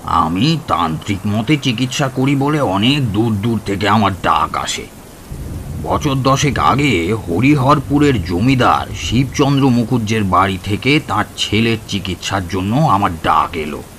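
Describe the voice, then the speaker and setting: An actor playing a boastful, chatty village tantric healer who tells ghost tales in a Bengali radio drama, with a Bengali accent. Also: male voice, fairly low pitch, animated, unhurried, a bit bright, slight background noise. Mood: storytelling. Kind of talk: Bengali audio drama acting.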